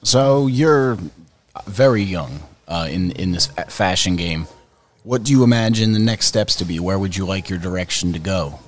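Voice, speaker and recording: A man's voice: deep voice, moderate pace. A man working as a correspondent, asking questions in a laid-back, joking way, sometimes tripping over his words. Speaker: correspondent interviewer. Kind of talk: casual interview questions.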